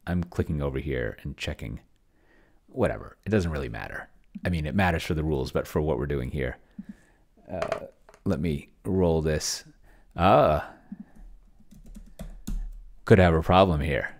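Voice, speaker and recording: A middle-aged man giving casual think-aloud commentary at his computer in a halting, murmuring way, close to a desk microphone. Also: rumbling low, lively intonation. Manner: halting, murmuring to himself while typing and reading. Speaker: host, solo roleplaying player. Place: small room at home, indoors. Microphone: desk microphone close to the mouth.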